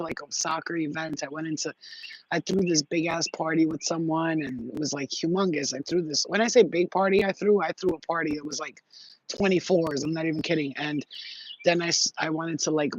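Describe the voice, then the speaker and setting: A man talks loosely to his live-stream viewers, giving them spiritual readings. He drifts from story to story in a rambling, excitable way and swears freely. His voice sits a little higher than most men's. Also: a little hiss or hum, low-quality recording. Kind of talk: casual live-stream chat and intuitive reading. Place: outdoors near trees.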